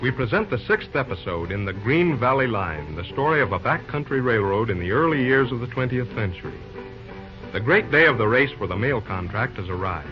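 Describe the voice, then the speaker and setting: A dull old radio recording with music clearly behind the voice. A man narrates as announcer. His delivery follows the scripted style of 1940s radio serials. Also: mid-range voice. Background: prominent music.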